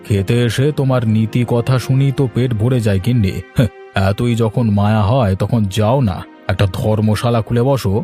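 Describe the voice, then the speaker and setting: A man's voice: mid-range voice, fairly even intonation, moderate pace, warm tone, lightly acted. A man playing a greedy, grumpy village husband in a cartoon story, grumbling and sarcastic. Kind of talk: Bengali cartoon story acting. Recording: music behind the voice. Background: background music.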